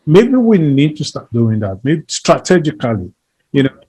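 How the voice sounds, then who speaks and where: A man's voice: a little high, very animated, moderate pace, warm tone, breathy edge. A man as an interview guest, speaking earnestly and emphatically, often repeating phrases and building lists. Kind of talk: earnest conversational advocacy.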